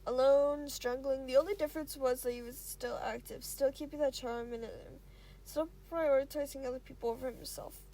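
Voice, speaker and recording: A woman with a high, soft-edged mellow voice narrates a story aloud. Her reading is expressive but halting, with small stumbles and self-corrections. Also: rough recording. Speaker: amateur fan fiction reader.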